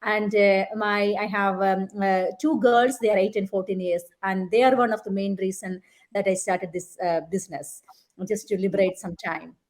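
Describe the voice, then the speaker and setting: A woman's voice: mid-range voice, fairly even intonation, moderate pace. A woman in her forties from Kerala, India, speaking as a guest over a video call, earnest and reflective, with many fillers. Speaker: guest, nurse. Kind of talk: casual conversational interview.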